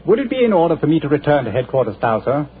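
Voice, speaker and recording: A dutiful young captain, brisk and deferential, who speaks quickly in a slightly raised, husky voice. The sound is thin and lo-fi, like an old radio broadcast. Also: male voice, a little high, very animated. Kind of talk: 1940s radio acting.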